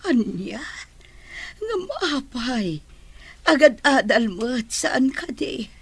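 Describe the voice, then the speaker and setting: A respectful, anxious housemaid from an audio drama, voiced by a woman. She speaks slowly in a breathy voice whose pitch moves a lot, with faint music behind her. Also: sing-song, dry recording, full character voice. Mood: deferential. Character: deferential household maid.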